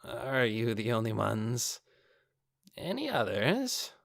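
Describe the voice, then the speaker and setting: A man voicing a calm, coldly confident armored adventurer with a measured, quietly mocking way of talking, for a fantasy audiobook. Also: medium pitch, creaky, dry recording, studio quality, heavily acted. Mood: cool, confident.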